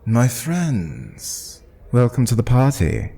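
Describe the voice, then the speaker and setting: A man voicing a grandiose, welcoming vampire lord in a fantasy tabletop game, speaking slowly and expansively. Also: mid-range voice, wildly swooping pitch, dark tone, husky, in a large hall, voice pushed up, heavily acted. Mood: expansive welcome. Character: welcoming vampire lord hosting a lavish banquet.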